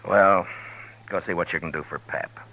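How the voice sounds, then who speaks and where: An actor playing a steady, stern middle-aged frontier marshal in a 1950s radio drama, calm, firm and measured. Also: male voice, low-pitched. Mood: probing. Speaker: lead and narrator. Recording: dull old radio broadcast with music.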